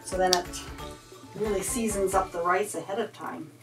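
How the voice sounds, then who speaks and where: A woman's voice: mid-range voice, steady tone, normal speed. A middle-aged woman in a home kitchen, casually explaining step by step how she cooks a dish. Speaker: home cook teaching a recipe. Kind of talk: casual cooking demonstration. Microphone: camera mic. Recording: music playing under voices.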